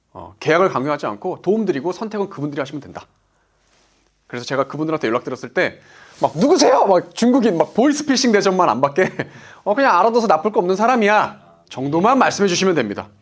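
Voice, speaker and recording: A man in his forties gives a motivational business talk with an emphatic delivery. His pitch swings widely and sits a little high for a man. He keeps asking a question and then answering it himself. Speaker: insurance sales director.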